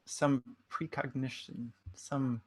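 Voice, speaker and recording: A man's voice: medium pitch, little pitch movement, slow pace, breathy edge. A man co-hosting a home livestream in casual, rambling chat with a wry, whimsical manner. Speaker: livestream co-host and musician.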